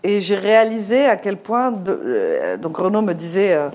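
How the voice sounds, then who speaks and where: Middle-aged woman from Morocco, slight Moroccan French accent, panel speaker, hesitant and full of fillers, mid-pitched. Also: lively intonation, normal speed, darkish tone. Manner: hesitant, many fillers and restarts. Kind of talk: discussion talk.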